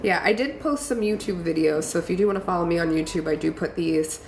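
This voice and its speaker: A congested woman hosting a casual live stream, reading viewer comments and encouraging them warmly. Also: lowish voice, fast talker. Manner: encouraging, affirming, chatty with fillers. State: congested from a cold. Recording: echoey room with faint ambient noise.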